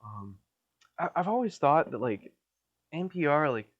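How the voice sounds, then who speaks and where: A young man with a nasal voice hosting a solo podcast from a hot basement, rambling in a crude, deadpan way with lots of restarts, his mouth dry and a little coughy. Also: on the high side, unhurried, highs cut off. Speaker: podcast host.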